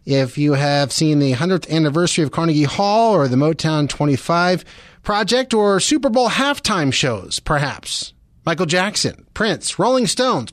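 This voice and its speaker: A male radio host with a fairly high-set voice talks in a conversational interviewing style. The sound is dry and close, with very little room around it. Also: no room sound.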